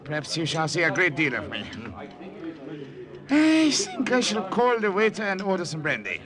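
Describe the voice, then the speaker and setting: An actor playing a suspicious, domineering German army captain with a German accent and slyly jovial menace, in 1950 radio drama style. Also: male voice, high-pitched, huge pitch swings, moderate pace, a crowd in the background. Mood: sly, probing. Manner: impatient, teasing, insinuating, with sly chuckles. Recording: vintage radio recording with music.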